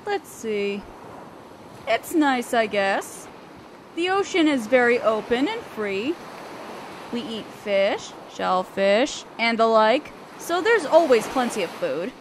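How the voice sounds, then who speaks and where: A woman's voice: fairly high pitch, big pitch swings, slow pace. A woman playing a guarded young female selkie in a roleplay audio drama, aloof and hesitant yet curious as she talks to the listener. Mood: musing, casual. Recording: ocean waves and wind behind voice. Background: surf, waves and wind sound effects.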